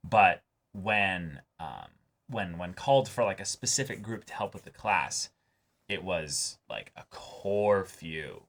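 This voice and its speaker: Young guy w a low voice, casually picking apart a show, measured and analytical. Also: lively intonation, fairly bright tone. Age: young adult. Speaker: host of an anime reaction show. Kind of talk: casual discussion. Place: small indoor room, seated before a backdrop.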